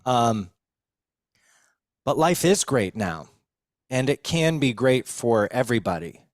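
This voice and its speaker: A man's voice: medium pitch, big pitch swings, takes it slowly, dry recording, studio quality. A young American man talking earnestly and informally as a guest in a casual podcast conversation. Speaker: podcast guest, recovery video producer.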